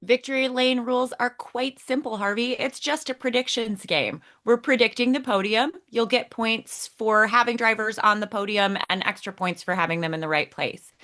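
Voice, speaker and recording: A woman's voice: a little high, moderate pace, few pauses, bright, forceful tone. A woman co-hosting a podcast, explaining things in a conversational presenting style. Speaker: podcast co-host.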